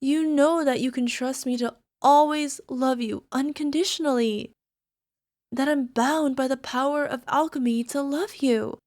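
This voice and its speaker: Casting: actress for a young female alchemist, sweet obsessive girlfriend type; high, unhurried, hesitant and giggly, for ASMR roleplay audio. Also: fairly even intonation, darkish tone. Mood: lovestruck, clingy. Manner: giggly, hesitant, sighing, slipping into whispers.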